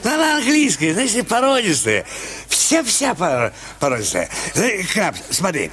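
Radio drama with a drunken, sentimental man whose fairly high voice swoops up and down. He stammers, repeats himself and coaxes insistently, like someone several glasses in. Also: wildly swooping pitch, normal speed, a bit bright, heavily acted. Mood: doting, fussy. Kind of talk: audio drama acting.

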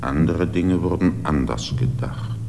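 An ancient, brooding slate mountain, deep and gravelly. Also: male voice, background noise, exaggerated voice. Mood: solemn, brooding. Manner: slow, solemn, half-whispered. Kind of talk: poetic radio play monologue. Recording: long reverb under clear music.

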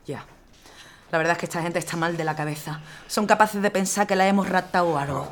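A woman's voice: on the low side, fast, slight background noise, lightly acted. Actress playing a determined young militia woman. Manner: determined, teasing. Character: stubborn young woman militia fighter Lina.

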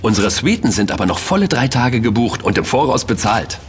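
An actor playing an affable, inquisitive young student in an audio drama, talking things through conversationally. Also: male voice, medium pitch, sing-song, fairly quick, slight background noise, close to an everyday voice. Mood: easygoing. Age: young adult. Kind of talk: German audio drama dialogue.

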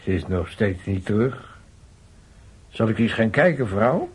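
Hese, sussende boerenvader. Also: male voice, on the low side, measured pace, slightly rough, exaggerated voice. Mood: hushing. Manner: hushed, calming. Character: middle-aged peasant husband and father, hushing, placating. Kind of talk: Dutch radio drama acting.

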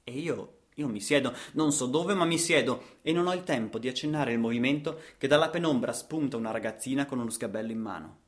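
A man's voice: medium pitch, a little fast, few pauses, close to an everyday voice. An actor playing an impassioned male traveller in a radio theatre monologue, telling his journey theatrically with insistent repetition. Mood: reflective narration. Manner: theatrical, insistent repetitions, swings from hushed to shouting. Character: impassioned male traveller recounting a trip across the savanna. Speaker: actor, storyteller.

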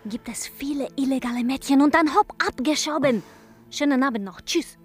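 An actress playing a blunt, wary peep-show dancer in a radio drama, speaking clipped German with a foreign accent. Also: female voice, sits high, normal speed, soft background music, natural voice. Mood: wary, dismissive. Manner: brusque, clipped broken German.

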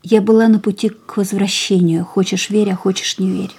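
An actress playing a gravely ill young woman who speaks quietly, tiredly and resolutely, often in a whisper, in a radio play. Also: mid-range voice, fairly even intonation, normal speed. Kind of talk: studio radio drama acting.

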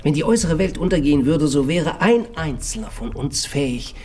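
An eccentric, assured philosopher played by a man muses in a literary radio drama. His voice sits a little high, with faint music behind it, as he lectures contemplatively. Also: expressive, brisk, a little mellow, close to an everyday voice. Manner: musing, lecturing.

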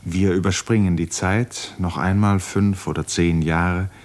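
A man narrating a literary radio play in a contemplative, measured manner, letting phrases fall away softly. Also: sits low, steady tone.

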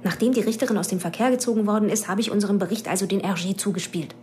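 A woman's voice: medium pitch, rapid-fire, darkish tone, slight background noise, close to an everyday voice. An actress playing a tough, guarded young policewoman who answers in terse, controlled phrases in a radio drama. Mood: urgent. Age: young adult.

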